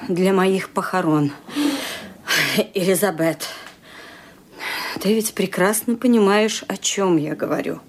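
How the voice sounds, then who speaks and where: An actress playing a frail, imperious old widow in a radio play, musing aloud in a deliberate, husky voice. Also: female voice, mid-range voice. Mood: stern, resigned. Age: older.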